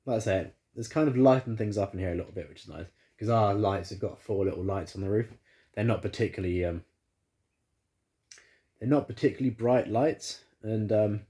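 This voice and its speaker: Man, home vlogger, congested, rambling delivery, low mellow tone, phone-recorded in living room. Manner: rambling, many fillers and restarts. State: congested after flu. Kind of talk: casual chat to camera. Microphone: phone camera.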